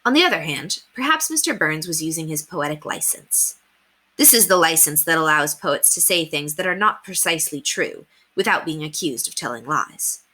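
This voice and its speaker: A woman narrates a children's storybook in a fairly low, bright voice. Her pitch moves in lively swings, and she leans deliberately on key words. It sounds like a teacher reading aloud to a class.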